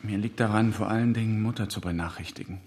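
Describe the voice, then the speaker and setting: An actor playing a dazed, dutiful wounded prisoner in a naturalistic German radio drama. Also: male voice, on the low side, quick pace, darkish tone, close to an everyday voice. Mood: puzzled, groggy.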